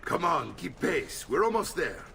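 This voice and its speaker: An actor playing a jovial, blustering middle-aged Italian uncle in a video game, with an Italian accent and a hearty, theatrical manner. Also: male voice, fairly high pitch, very animated, measured pace, vocal fry, sharp tone, a little hiss or hum, exaggerated voice. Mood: genial. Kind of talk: video game cutscene acting.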